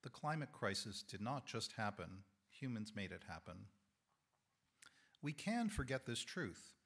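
A man's voice: on the low side, big pitch swings, fairly bright tone, breathy edge. Earnest older man giving a sermon. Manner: measured, earnest delivery. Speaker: guest speaker. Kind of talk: prepared sermon talk. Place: congregation hall, indoors. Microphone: lapel mic.

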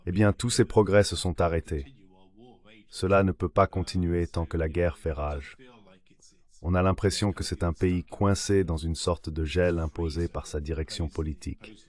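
A man's voice: sits low, fairly even intonation, moderate pace, darkish tone. A man's synthetic voice-over reading an interview guest's translated answers in a scripted way.

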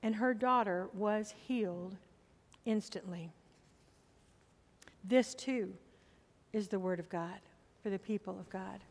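A middle-aged woman pastor preaching a sermon from the pulpit of a church, with slow, emphatic appeals to the congregation. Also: medium pitch, in a large hall.

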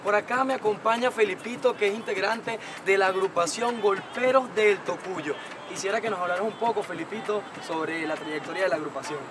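A man's voice: high-pitched, brisk, background noise. A young Venezuelan man hosting a travel show, presenting in an upbeat way outdoors, with music behind him. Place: outdoors at night on a monument terrace.

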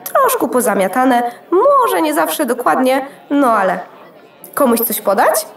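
A woman's voice: high-pitched, sing-song, a little slow, creaky, heavily acted. A woman playing a gracious, easily flustered motherboard hostess in a children's audio drama, polite, effusive and rambling. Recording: echoey room.

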